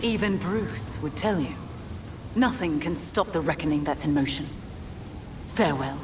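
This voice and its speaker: An actress playing a menacing, zealous leader of assassins in a video game, measured, imperious and grandiose. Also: female voice, low-pitched, lively intonation, background noise. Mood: menacing resolve. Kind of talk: cinematic video game acting.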